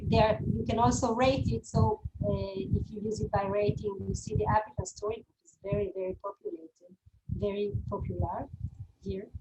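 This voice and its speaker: A woman with a Hebrew accent lectures in English, her second language, in a fairly high voice. She talks in a hesitant way, full of fillers and restarts. The webinar recording carries a clear layer of background noise.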